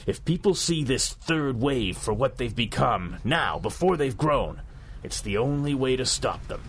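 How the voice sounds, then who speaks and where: Gravelly, jittery streetwise informant. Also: male voice, medium pitch, big pitch swings, unhurried, slight background noise. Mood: tense, grim. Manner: streetwise, terse slangy talk. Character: jittery, guilt-ridden male mob member turned informant. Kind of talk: 1930s-style radio superhero drama acting.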